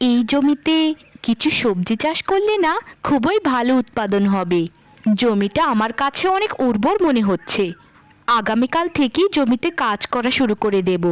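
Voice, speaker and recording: A woman voicing a devoted, hardworking young village daughter in a cartoon drama, speaking earnestly and gently. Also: a little high, sing-song, normal speed, dry recording. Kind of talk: melodramatic cartoon dubbing.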